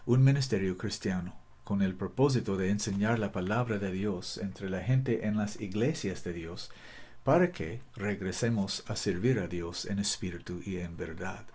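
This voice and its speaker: Man, Bible study host, English native, somewhat low voice, careful and halting. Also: a little mellow, husky. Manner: careful, halting, frequent restarts. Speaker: Bible teacher. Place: Costa Rica.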